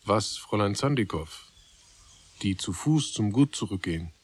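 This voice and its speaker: A man acting as the grave narrator of a literary radio drama, speaking in a measured, solemn way. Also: fairly low pitch, lively intonation, breathy edge, no echo. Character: grave male narrator of a sombre literary tale.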